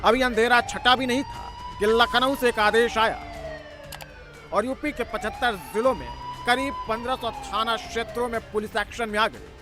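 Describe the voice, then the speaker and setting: A man's voice: very high voice, fairly even intonation, normal speed. A man narrating a television news report from a script in an emphatic, dramatic, rhetorical style.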